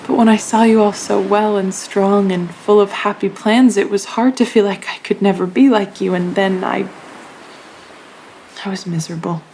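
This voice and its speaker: An actress playing a gentle, frail, selfless young woman in an audio play, speaking haltingly. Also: mid-range voice, steady tone, lightly acted, poor audio. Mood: quiet, sorrowful. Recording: faint background hiss.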